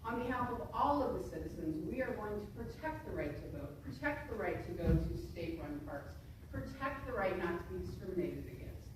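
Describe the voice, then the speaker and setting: A woman speaking as a federal prosecutor at a public presentation, explaining emphatically with rhetorical questions and deliberate phrasing. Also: fairly low pitch, very animated. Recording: distant, very echoey room, noisy live stream.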